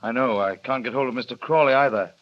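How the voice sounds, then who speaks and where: An actor playing a dutiful middle-aged harbour master in an old radio drama, tactful and hesitant. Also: male voice, mid-range voice, fast talker, highs cut off, lightly acted. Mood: grave.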